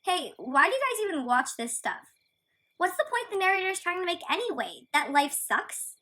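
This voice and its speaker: Woman as a sassy, high-voiced kitten heroine. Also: sing-song, moderate pace, pushed and bright, slight background noise, heavily acted. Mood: mocking. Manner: sarcastic, irreverent. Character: sassy, defiant young female kitten heroine. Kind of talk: radio play acting.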